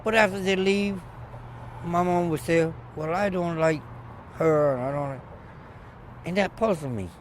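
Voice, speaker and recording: A middle-aged man from Barbados, a former barber, telling stories in an animated, emphatic way during a casual outdoor interview by a street. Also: a little high, measured pace, long pauses. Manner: animated storyteller, loud emphatic bursts. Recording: faint street noise outdoors.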